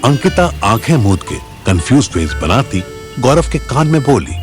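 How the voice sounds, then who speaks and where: Lively, expressive male storyteller. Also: mid-range voice, normal speed. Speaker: narrator. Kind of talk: scripted audio story narration in Hindi with English words. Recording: music bed behind voice.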